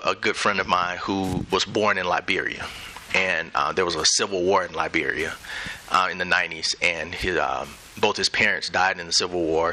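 A middle-aged man speaking as a guest in a live onstage conversation, measured and thoughtful with light laughs. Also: deep voice, bright, forceful tone, a little hiss or hum, rough recording. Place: event center stage.

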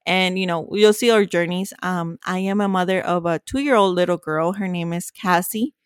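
Kobieta z Meksyku o suchym brzmieniu. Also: female voice, fairly low pitch, moderate pace, clean tone, no room sound, studio quality. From Mexico. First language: Spanish. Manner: warm, chatty, self-joking. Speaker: podcast co-host. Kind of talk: casual podcast chat.

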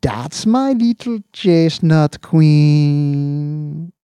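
A man voicing Slope, a petty male official with a shrill, wheedling voice. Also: expressive, drawn-out words, warm tone, clear, resonant tone, no echo, full character voice. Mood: cooing. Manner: high, shrill, wheedling and cooing.

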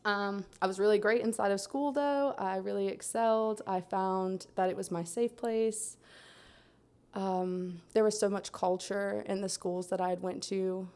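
A young adult woman from Central Florida telling her life story in a steady, soft-spoken way, pausing often with "um". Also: mid-range voice. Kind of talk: personal testimony in a conversation.

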